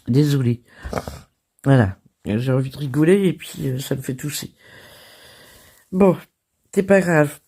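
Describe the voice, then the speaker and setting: A French woman hosting her crafting channel, hoarse from a cold, chatting casually and laughing at herself. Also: a bass voice, measured pace, pauses often, mellow. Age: older. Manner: chatty and self-deprecating, laughing and coughing. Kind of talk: casual chat.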